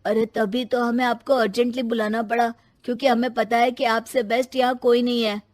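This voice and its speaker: A woman's voice: fairly high pitch, flat delivery, brisk, darkish tone, close to an everyday voice. A woman playing a young, anxious and loyal office assistant in a moral story. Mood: admiring.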